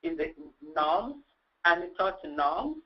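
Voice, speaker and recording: Halting higher-pitched man. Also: very animated. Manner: halting, searching for words. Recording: muffled, band-limited webinar audio.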